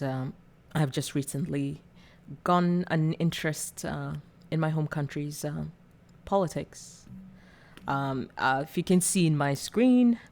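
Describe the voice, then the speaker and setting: A woman from Rwanda hosting her own channel, talking through political commentary in a hesitant way with many fillers and small giggles. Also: low-pitched. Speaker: channel host. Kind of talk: casual political commentary video.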